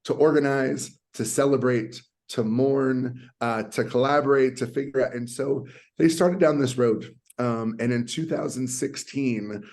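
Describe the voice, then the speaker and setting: A man with a slightly raspy voice, a guest on a video call panel, talking earnestly with many fillers and restarts. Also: medium pitch, a little slow, a little mellow. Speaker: guest, community center leader. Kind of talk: virtual panel conversation. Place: conference hotel.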